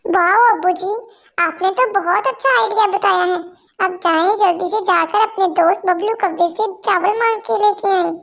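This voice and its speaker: A woman's voice: very high-pitched, moderate pace, gravelly, fairly bright tone, full character voice. A woman voicing a sweet, earnest young female sparrow with a childlike manner in a cartoon story. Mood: happy, eager.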